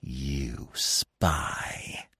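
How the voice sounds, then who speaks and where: A man voicing a wounded, embittered, slow-hissing fox. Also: very deep voice, vocal fry, sharp tone, husky, dry recording, whispered, clean studio sound, voice pushed down, full character voice. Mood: bitter, hissing. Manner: hissing, growling, drawn-out pleading. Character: wounded male fox, weak pleading turned bitter contempt.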